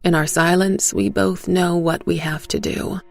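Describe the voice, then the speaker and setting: A woman reading a first-person dramatic story in a suspenseful, deliberate way, as a scripted narrator. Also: fairly low pitch, fairly even intonation, vocal fry. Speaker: narrator and voice actor. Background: faint music.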